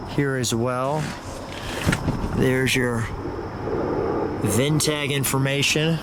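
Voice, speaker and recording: Chatty American man, noisy outdoor recording. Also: mid-range voice. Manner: enthusiastic, chatty. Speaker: host of a car video series. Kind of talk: casual walkaround commentary and interview. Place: outdoors beside a parked car.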